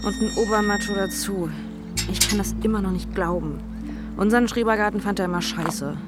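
A woman's voice: mid-range voice, a little fast, over loud music, close to an everyday voice. An actress playing a worried, determined 17-year-old girl in a naturalistic radio drama, speaking informal youth slang. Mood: disbelieving. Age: teenager. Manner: informal youth slang, hesitant when asking.

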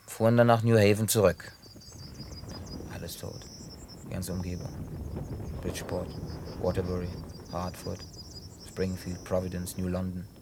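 An actor dubbing a man who tells a long story in a laid-back way with gruff humor. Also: sits low, darkish tone, a little hiss or hum. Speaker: lead character in a dubbed film. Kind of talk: dubbed film acting.

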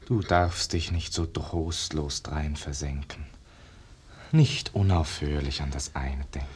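An actor playing a brooding painter's pupil in a 1950s German radio verse drama, declaiming with solemn, poetic intensity. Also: male voice, a bass voice, steady tone, slow pace, slight background noise. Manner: solemn poetic declamation, swelling from hush to rapture. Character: brooding, world-weary male Renaissance painter's pupil.

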